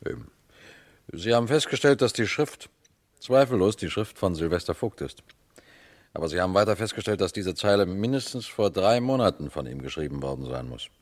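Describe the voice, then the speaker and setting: An actor playing a shrewd, unhurried police detective who narrates his case in a radio crime drama, deliberate and pointed. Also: sits low, big pitch swings. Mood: coolly confronting. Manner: deliberate, pointed, addresses listeners directly.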